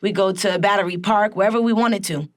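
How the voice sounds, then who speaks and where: A young woman with a raspy voice, a musician talking candidly in a casual, slangy interview. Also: mid-range voice, fairly even intonation, brisk. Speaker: guest musician and rapper.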